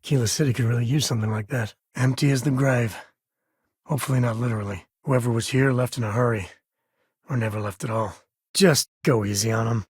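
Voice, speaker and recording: A man's voice: medium pitch, fairly even intonation, a little fast, darkish tone, husky, no room sound, studio quality, lightly acted. An actor playing an easygoing, roguish middle-aged space cowboy and devoted father in a video game, with folksy, wry, laid-back phrasing. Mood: wry, teasing. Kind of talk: video game character dialogue.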